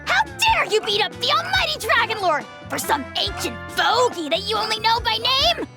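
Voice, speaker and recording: A woman's voice: extremely high pitch, very animated, moderate pace, heavily acted. A woman voicing a tiny, boastful creature who calls himself a dragon lord, blustering and name-calling in a game cutscene. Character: tiny pompous self-proclaimed dragon lord creature, male. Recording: game score music underneath.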